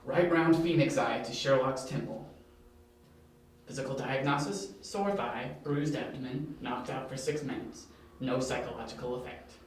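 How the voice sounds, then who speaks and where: A man's voice: medium pitch, moderate pace, close to an everyday voice. A man playing a smug, taunting criminal mastermind with menacing politeness in an amateur audio drama. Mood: confident, calculating. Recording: very echoey, distant, with faint hiss.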